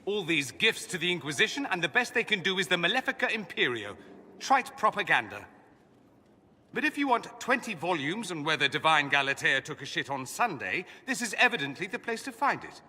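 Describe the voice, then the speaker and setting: A refined young mage from a decadent empire, bright-voiced and sardonic. His delivery swings widely in pitch, theatrical and learned, as game cutscene acting. It rings in a long hall reverb. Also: very animated, fairly quick, heavily acted. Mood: scornful, exasperated. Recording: reverberant hall with background ambience.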